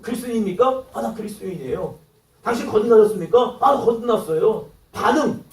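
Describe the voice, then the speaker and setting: A male pastor preaching a sermon, acting out quoted dialogue and rising to loud exclamations. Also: sits high, lively intonation, a little fast, reverberant, distant mic, low-quality recording.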